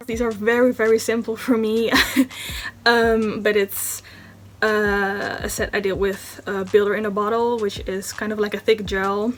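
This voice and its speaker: A woman's voice: mid-range voice, little pitch movement, unhurried. A young woman from the Netherlands with a Dutch accent, chatting casually through a nail tutorial voice-over, with many ums. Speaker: beauty vlogger.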